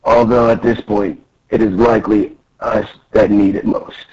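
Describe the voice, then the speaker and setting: A man voicing a grave older king from a comic, stately and solemn, with a husky rasp. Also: fairly low pitch, fairly even intonation, unhurried, darkish tone, slight background noise, close to an everyday voice. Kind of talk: amateur comic read-aloud. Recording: dull lo-fi voice recording.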